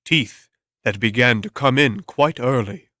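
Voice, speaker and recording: Measured male storyteller, dry close recording. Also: medium pitch, sharp tone, dry recording. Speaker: audiobook narrator. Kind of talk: scripted dramatic story narration.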